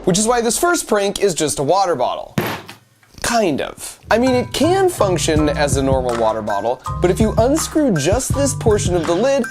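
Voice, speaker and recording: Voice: mid-range voice, wildly swooping pitch, normal speed. An American YouTube host delivering scripted narration. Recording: music behind the voice. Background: music.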